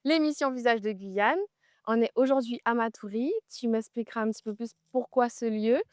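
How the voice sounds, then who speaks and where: Upbeat woman host, fairly high voice. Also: moderate pace, clean tone, no echo, clean studio sound. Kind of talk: casual interview while walking. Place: outdoors on a forest trail.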